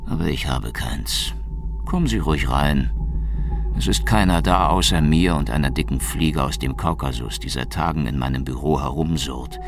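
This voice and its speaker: An actor playing a cynical, world-weary Soviet secret police detective in a noir radio drama, deadpan and sardonic, with a gravelly voice. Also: male voice, a bass voice. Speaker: narrator and lead detective. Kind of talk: noir radio drama, first-person narration. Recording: music behind the voice.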